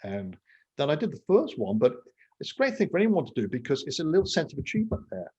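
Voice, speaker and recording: A man's voice: fairly high pitch, big pitch swings, fast, darkish tone, breathy edge, highs cut off. A man in his sixties from England, a podcast guest talking casually and rambling, with lots of fillers and self-mocking asides. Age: 60s. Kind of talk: casual interview talk.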